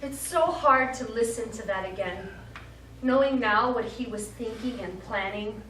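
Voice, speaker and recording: A woman's voice: fairly high pitch, a bit bright. Woman acting a composed, calculating wife, echoey stage. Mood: aggrieved. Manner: formal, measured, deliberate. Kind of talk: live stage play acting. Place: small theatre studio, distant microphone. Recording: echoey, noisy stage recording from far off.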